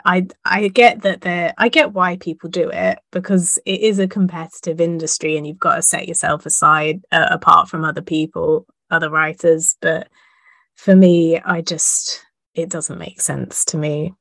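A woman's voice: on the low side, fairly even intonation, normal speed, a bit bright, dry recording. A woman hosting a casual podcast interview, hesitant and self-deprecating, laughing often. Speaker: podcast host and editor.

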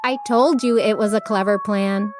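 A woman voicing a small, brave young monkey who speaks calmly, politely and innocently. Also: medium pitch, normal speed, over music, pitched above the performer's own voice, lightly acted. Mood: pleased.

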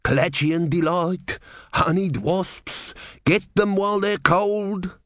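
A man's voice: sits high, takes it slowly, dry recording, clean studio sound, voice pushed up. A man voicing a skinny, oily, hopeful street vendor with wheedling sales patter for a storybook.

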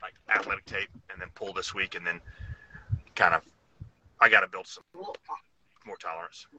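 A man's voice: low voice, fairly even intonation, moderate pace, pushed and bright, lo-fi sound, a little hiss or hum. An American man co-hosting a podcast, chatting casually in colloquial, folksy phrasing. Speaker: podcast co-host. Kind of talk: casual powerlifting chat.

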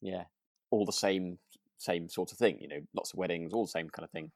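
A man's voice: low voice, fairly quick, a little mellow, no room sound, clean studio sound. A British man co-hosting a podcast in casual chat, with a hesitant, apologetic, rambling way of talking. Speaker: podcast co-host.

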